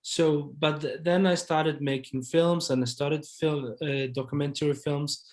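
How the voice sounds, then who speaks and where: A man's voice: a little high, fairly even intonation, measured pace, a little mellow. A man in his thirties, from Iraq, a documentary director answering panel questions in a hesitant way, with fillers and restarts.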